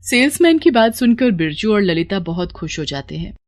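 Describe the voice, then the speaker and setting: Woman narrator with mid-pitched voice. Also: big pitch swings, normal speed. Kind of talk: scripted story narration.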